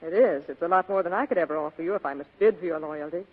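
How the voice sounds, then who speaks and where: An actress playing a demure, scheming young femme fatale in an earnest, coaxing 1940s radio drama style. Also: female voice, on the low side, rapid-fire, lightly acted. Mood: pleading, coaxing. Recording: muffled vintage radio drama recording, phone-like band.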